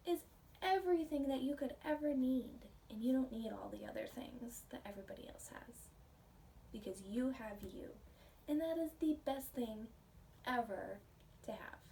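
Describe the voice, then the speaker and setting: A woman reading a picture book aloud to children and chatting about it in a gentle, expressive, questioning way. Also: sits high. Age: adult. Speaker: children's storybook reader, teacher. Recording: distant mic with faint background noise.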